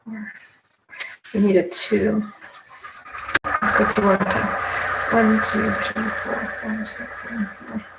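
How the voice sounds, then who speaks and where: A woman's voice: medium pitch, little pitch movement, slow, vocal fry, long pauses. An American woman chatting casually and rambling, asking and answering her own questions as if talking to herself. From United States. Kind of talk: rambling casual solo chat while playing cards. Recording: very noisy recording with clicks.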